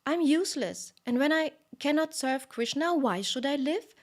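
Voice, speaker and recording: Woman doing a high, wailing milk pot, a storybook thing full of self-pity. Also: animated, normal speed. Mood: despairing. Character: despairing pot of milk, female, melodramatic.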